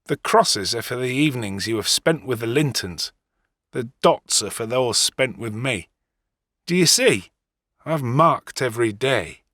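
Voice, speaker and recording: Gruff, brooding young man, swinging intonation. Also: mid-range voice, lively intonation, a little slow, fairly bright tone, dry recording, clean studio sound. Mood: hurt, agitated. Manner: gruff, resentful. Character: sullen, wounded young farmhand in love, brooding. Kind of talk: dramatic audiobook dialogue.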